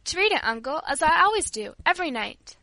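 A woman's voice: high voice, sing-song, a little fast, pushed and bright, no room sound, voice pushed up, exaggerated voice. A woman voicing a devout, timid young orphan girl in an audiobook, polite and imploring.

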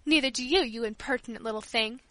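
A woman reading aloud puts on a bitter, bedridden teenage girl who snaps and scolds. Her voice is high and bright, close and dry in the recording, with a sharp, irritable edge. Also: fast talker, no echo. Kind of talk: audiobook character voice.

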